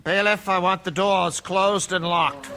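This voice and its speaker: An actor playing a stern, authoritative male judge who delivers formal rulings in a measured way. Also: sits high, bright, forceful tone, a little hiss or hum, exaggerated voice. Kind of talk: live stage radio drama.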